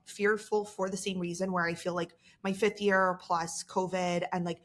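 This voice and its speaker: A woman's voice: on the low side, flat delivery, normal speed. A woman in her early thirties co-hosting a podcast, telling candid, self-deprecating stories in casual chat. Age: 30s. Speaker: podcast co-host.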